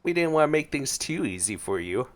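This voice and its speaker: A man's voice: a little high, big pitch swings, brisk. A man giving casual, reactive commentary as a gaming streamer while he plays. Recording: game music behind commentary.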